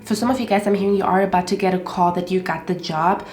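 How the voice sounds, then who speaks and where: Woman tarot reader with a stuffed-up nose, repetitive and reassuring, frequent "okay?" check-ins, echoey room with soft music. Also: mid-range voice, fast. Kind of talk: casual tarot reading monologue. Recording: roomy echo with faint music.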